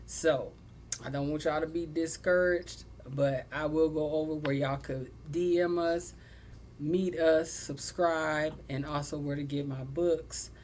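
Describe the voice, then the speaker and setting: A young adult woman hosting a casual sibling podcast, blunt and animated, cussing freely and laughing often. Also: low-pitched, a little slow, a little hiss or hum. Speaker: podcast host.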